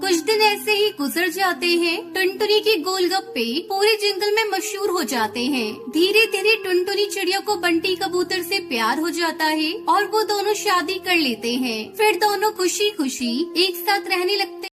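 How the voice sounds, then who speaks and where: A woman's voice: very high voice, normal speed, hardly any pauses, music in the background. A woman narrating a children's cartoon tale as scripted storybook narration.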